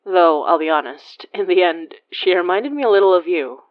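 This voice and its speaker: An actress voices a chatty, teasing woman in a podcast drama. She talks casually in a slightly low voice, recorded dry and a bit dull. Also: lively intonation, moderate pace, dry recording, lightly acted. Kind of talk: casual monologue, audio drama.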